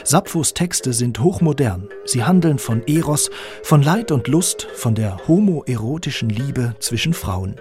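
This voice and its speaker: A man with a slightly husky voice narrating a scripted radio feature in an articulate, clearly structured way. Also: medium pitch, lively intonation, measured pace, darkish tone, soft background music. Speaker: radio documentary narrator and reporter. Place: dry studio.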